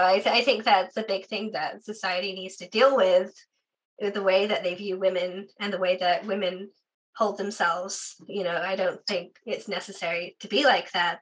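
A young adult guest from the UK, talking casually and chattily in an interview, with lots of "like" fillers and a sore voice. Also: female voice, medium pitch, poor audio. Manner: chatty, frequent "like" fillers, laughs often.

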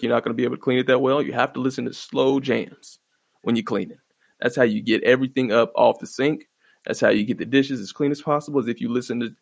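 A man hosting his own podcast, rambling in a laid-back, profane way with a fairly monotone voice and lots of fillers. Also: mid-range voice, creaky, dry recording. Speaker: podcast host. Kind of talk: casual solo podcast commentary. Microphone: close podcast microphone.

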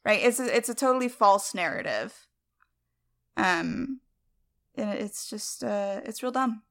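Female co-host, mid-range pitch, informal discussion, halting delivery with asides. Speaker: podcast co-host. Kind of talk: casual discussion.

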